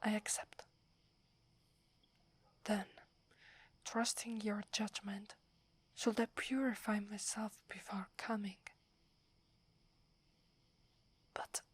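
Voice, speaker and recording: A woman's voice: medium pitch, level tone, no room sound. Whispering young werewolf warrior played by an Italian-accented woman. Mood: hesitant, humble. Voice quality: soft breathy whisper. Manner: slow, hushed, deferential. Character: young female werewolf warrior, devoted, humble, obedient. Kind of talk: ASMR roleplay.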